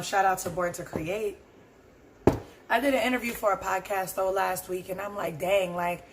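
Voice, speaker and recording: A hoarse woman hosting her own podcast, talking through her thoughts in a rambling, earnest way. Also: fairly low pitch, slight background noise. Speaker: podcast host. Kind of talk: casual solo reflection.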